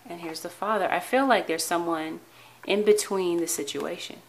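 A woman with a somewhat low, hoarse voice talks earnestly through a tarot spread, pausing and restarting her phrases. Her tone stays calm and steady. A faint hiss of room noise sits behind her words. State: hoarse, tired voice. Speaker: tarot reader. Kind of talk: weekly tarot reading to camera. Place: indoor tabletop with cards, voice off camera.

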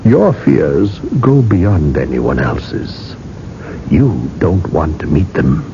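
Low, mellow, needling interrogator. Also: male voice, sing-song, full character voice. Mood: accusing, sardonic. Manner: probing, sardonic, deliberate. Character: shrewd, needling male gatekeeper of immortality. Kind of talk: 1980s radio drama acting. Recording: muffled, noisy old radio recording.

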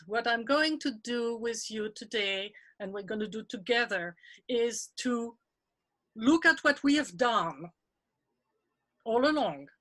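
Slow bright-voiced French woman in her sixties. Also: a little high. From France. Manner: chatty, encouraging, laughs often. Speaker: retired teacher, workshop presenter. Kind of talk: professional development webinar talk. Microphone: video call.

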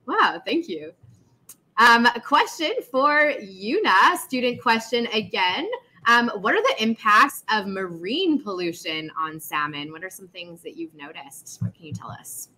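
A woman's voice: mid-range voice, lively intonation, measured pace, pushed and bright, poor audio. A woman moderating an online panel, upbeat, reading student questions aloud. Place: indoor office room.

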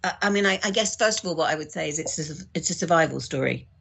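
A woman's voice: sits low, expressive, fast, gravelly, a bit bright, breathy edge. A woman guest on a podcast, reflectively discussing literature and tentatively thinking aloud as she goes.

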